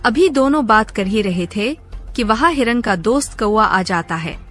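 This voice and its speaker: Higher-pitched woman narrating children's stories. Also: expressive, normal speed, soft background music. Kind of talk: scripted children's story narration.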